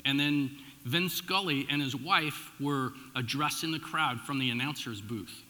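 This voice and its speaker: A middle-aged American man preaching as a pastor, telling personal stories in an informal, animated, conversational way with emphatic swings and dropped asides. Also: medium pitch, measured pace. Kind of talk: conversational sermon with personal storytelling. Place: large church room, addressing a congregation. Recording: echoey hall with long reverb.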